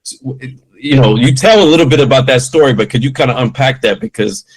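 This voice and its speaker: A man's voice: mid-range voice, fast talker, low-quality recording. A man hosting a podcast who asks long, rambling, enthusiastic questions. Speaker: podcast host. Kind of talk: casual interview chat.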